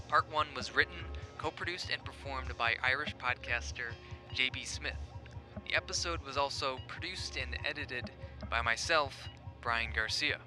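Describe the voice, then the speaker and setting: A man hosting a podcast, reading scripted episode credits in an announcer's role. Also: mid-range voice. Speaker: host, producer. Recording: music playing behind voice. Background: music.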